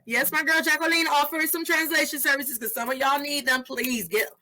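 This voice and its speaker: Bright, animated woman in her thirties. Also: sits high, fairly quick. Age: 30s. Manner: animated, mixes Spanish phrases into English, slangy. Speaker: podcast host. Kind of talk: casual interview chat.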